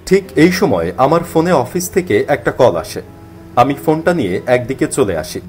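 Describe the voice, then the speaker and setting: A man's voice: mid-range voice, sing-song, moderate pace, a little mellow, faint music behind. A man narrating a scripted mystery story in the first person.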